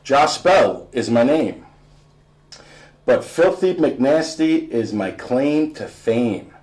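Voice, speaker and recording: A man's voice: medium pitch, animated, slow pace, darkish tone. Cocky American teenage boy athlete read by a man, boastful rhythmic verse for a storybook. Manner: rhythmic, punchy rhyming delivery. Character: cocky teenage boy basketball player, rhyming braggart.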